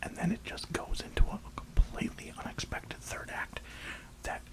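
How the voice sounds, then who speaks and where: A reviewer whispering an enthusiastic, rambling ASMR film review in a breathy, unvoiced voice. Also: moderate pace, low-quality recording. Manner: enthusiastic, rambling, emphatic repetition.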